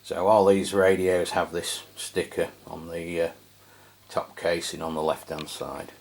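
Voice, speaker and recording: A man giving a casual, hands-on product review as a channel host, speaking in a low-key, hesitant, unscripted way. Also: little pitch movement, measured pace, creaky, a little mellow, a little hiss or hum. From England.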